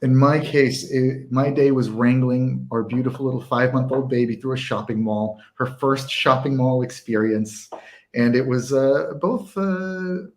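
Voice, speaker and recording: A man's voice: medium pitch, measured pace, a little mellow. Chatty American man hosting a livestream. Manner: chatty, self-mocking. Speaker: co-host of a whisky tasting.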